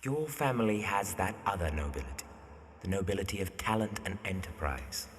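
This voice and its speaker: An actor playing a stiff, easily offended young gentleman in a period audio drama, formal and prickly. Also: low voice, animated, fairly quick, long reverb tail. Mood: earnest. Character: stiff, indignant young gentleman architect Somerset.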